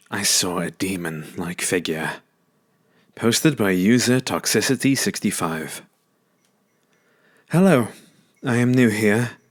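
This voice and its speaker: A man reading a first-person scary story aloud as a scripted narration. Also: fairly low pitch. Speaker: narrator reading submitted ghost stories. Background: music.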